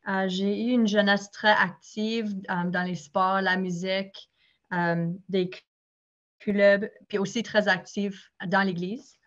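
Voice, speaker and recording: A young woman, speaking at a middling pitch in a plain, direct manner with the odd filler. The recording has a slightly muffled, dull sound. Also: fairly even intonation, takes it slowly. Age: 20s. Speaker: nurse, interview guest. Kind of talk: prayer reading and interview answers.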